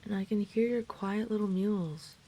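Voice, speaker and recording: A woman playing a gentle, caring dragon friend in an intimate roleplay audio drama, speaking in a reassuring, considerate, slightly hesitant way. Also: mid-range voice, moderate pace, a little hiss or hum, natural voice.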